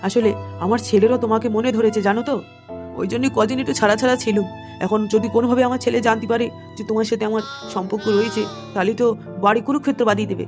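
A woman's voice: on the high side, fairly even intonation, very fast, music in the background, close to an everyday voice. A woman voicing a sly old grandfather who coaxes and pleads with his lover in an amateur comedy cartoon. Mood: pleading, anxious. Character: wheedling, cheating old grandfather placating his secret lover.

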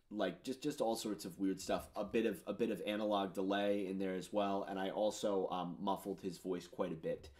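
Rambling thirties man, low voice. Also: darkish tone. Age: 30s. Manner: rambling, filler-heavy, enthusiastic. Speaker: musician discussing his album. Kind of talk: casual commentary on his own songs. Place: home studio with purple lighting.

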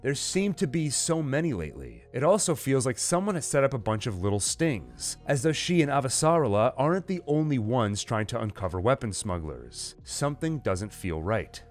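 Animated man narrating over music. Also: medium pitch, moderate pace, hardly any pauses. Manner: acts out quoted dialogue within narration. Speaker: host narrating a comic recap. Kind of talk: scripted dramatized narration. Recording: music under the voice. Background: dramatic music and sound effects.

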